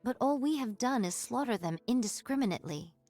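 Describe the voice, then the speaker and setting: A woman's voice: fairly high pitch, animated, brisk, a little mellow, soft background music. A woman voicing a solemn warrior who speaks in formal, old-fashioned phrases, in anime game style. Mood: solemn, reflective. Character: solemn archaic-speaking android swordswoman turned would-be farmer.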